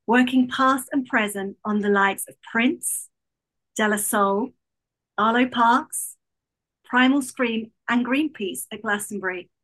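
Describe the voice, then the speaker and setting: A woman's voice: on the high side, slow pace, rough recording. A woman hosting a podcast interview, effusive and gushing as she introduces and responds to her guest. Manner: warm and effusive, with gushing praise. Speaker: podcast host.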